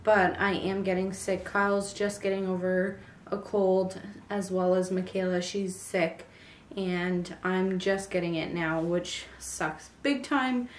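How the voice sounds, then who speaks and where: A woman's voice: fairly low pitch, steady tone, slow pace, distant mic, a little hiss or hum. Young woman, raw sore throat, chatty, ums, echoey room, mic a few feet off. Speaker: vlogger. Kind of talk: casual pregnancy update vlog.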